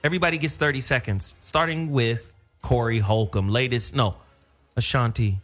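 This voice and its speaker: A man hosting a casual radio talk show, taking calls briskly and swearing loosely. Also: medium pitch, a bit bright, faint music behind.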